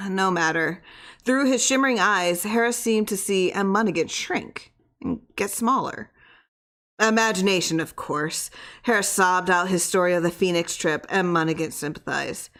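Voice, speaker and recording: A woman narrates a horror tale in a fairly low voice. The scripted reading is dramatic and expressive, built for suspense.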